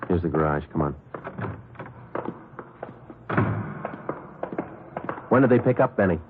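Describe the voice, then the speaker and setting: An actor playing a terse, world-weary police detective sergeant with clipped, matter-of-fact delivery in an old radio crime drama. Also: male voice, deep voice, expressive, rapid-fire, background noise. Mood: businesslike. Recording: muffled old radio recording, music behind.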